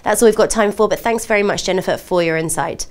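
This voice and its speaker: A woman's voice: mid-range voice, quick pace. A woman presenting television news and putting scripted interview questions in a formal, polished manner.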